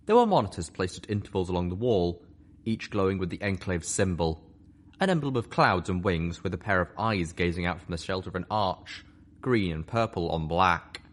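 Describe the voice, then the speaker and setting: Low-voiced man reading a story expressively. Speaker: story reader. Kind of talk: audiobook narration of fan fiction.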